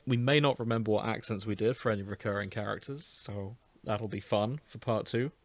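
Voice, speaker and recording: A man's voice: lowish voice, a little fast, dry recording. A man hosting a podcast in a loose, casual chatting style. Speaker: podcast host. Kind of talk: casual chat, loose sign-off.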